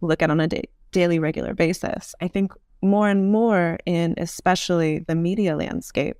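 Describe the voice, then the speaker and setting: A woman's voice: lowish voice, steady tone, normal speed, darkish tone, smooth, dry recording, studio quality. A woman speaking as an interview guest, explaining ideas thoughtfully and repeating for emphasis. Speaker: podcast guest, futurist author.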